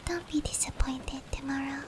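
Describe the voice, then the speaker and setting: A woman voicing a gentle, protective female demon in a soothing, breathy whispered ASMR roleplay, slow and comforting. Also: sits high, steady tone, noisy background, natural voice. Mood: soothing, sleepy. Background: rain.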